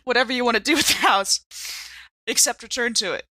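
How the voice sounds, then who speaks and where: A woman's voice: a little high, fast talker, sharp tone, slight background noise, rough recording. A woman playing a frazzled, hard-drinking woman in a horror drama, rambling, giggly and sardonic.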